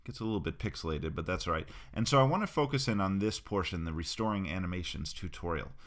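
A man narrating a screen-recorded software tutorial, explaining each step as he clicks through it in a relaxed, casual way. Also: low voice, fast.

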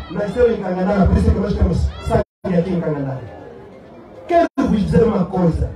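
An Angolan man giving an impassioned political rally speech in Portuguese-accented declamation, throwing rhetorical questions out to his listeners. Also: high-pitched. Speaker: political party secretary addressing a rally. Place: open-sided outdoor rally stand under a canopy. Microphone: cluster of press microphones and PA. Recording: echoing PA, distant, noisy crowd. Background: rally crowd.

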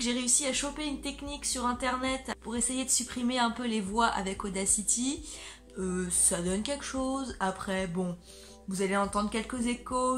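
Chatty young woman vlogger, music behind. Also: mid-range voice. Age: 20s. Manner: chatty, self-deprecating. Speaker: video creator. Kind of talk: casual chat to camera. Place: small furnished bedroom indoors. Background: faint background music.

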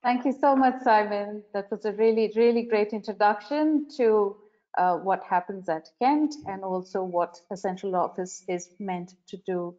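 A woman's voice: mid-range voice, normal speed, dark tone. A woman moderating a webinar, politely thanking one speaker and introducing the next. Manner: polite, welcoming. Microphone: video call. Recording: slightly dull video call audio.

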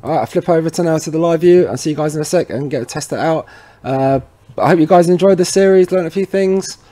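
A man's voice: on the high side, fast, warm tone. A man presenting a how-to tutorial in a casual, informal way, talking straight to viewers. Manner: informal, addresses viewers as "guys". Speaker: tutorial presenter for a tech retailer.

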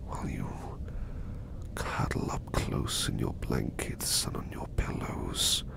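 A man playing a tender, protective butler servant in a roleplay ASMR, whispering breathily and gently with a gravelly edge to soothe the listener. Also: slow, lightly acted. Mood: devoted, reassuring. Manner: soothing, lingering, gentle delivery.

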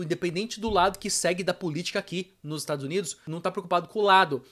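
Higher-pitched Brazilian man talking emphatically. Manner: emphatic, colloquial, addresses viewers directly. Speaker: vlogger. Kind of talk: casual opinion vlog to camera. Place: small home room with arcade machine, indoors.

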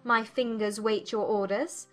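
Woman acting a high-voiced, witty young gentlewoman. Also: steady tone, measured pace. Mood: playful, teasing. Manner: poised, formal, teasing. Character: witty, self-possessed young English gentlewoman, playfully challenging. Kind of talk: dramatized audiobook acting.

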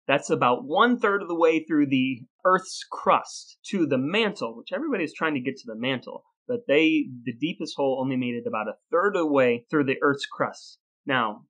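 A man hosting a podcast, telling stories and explaining in a deliberate, playfully grandiose presenter's delivery. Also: medium pitch, a bit bright. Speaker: podcast host. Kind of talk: scripted storytelling and conversational explaining.

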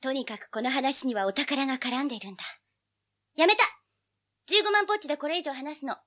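A woman's voice: high voice, lively intonation, fairly quick, sharp tone. An actress playing a feisty, money-hungry teenage girl with a pert, blunt manner in a dramatized audiobook. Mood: greedy, demanding.

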